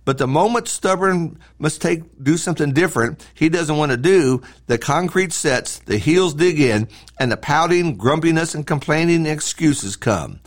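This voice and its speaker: A middle-aged man reads a devotional message as a preacher would. His voice sits a little high and swings widely in pitch. The phrasing is earnest and emphatic, with key words leaned on. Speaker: preacher hosting a devotional podcast. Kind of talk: scripted devotional talk, read aloud.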